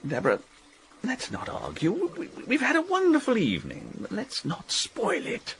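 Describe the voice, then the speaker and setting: An actor playing a suave, upper-class womanising bachelor in a radio drama, smooth but inclined to stammer and evade. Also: extremely high pitch, wildly swooping pitch, normal speed, a bit bright, slight background noise, full character voice. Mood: flustered, evasive. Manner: smooth, stammering when cornered.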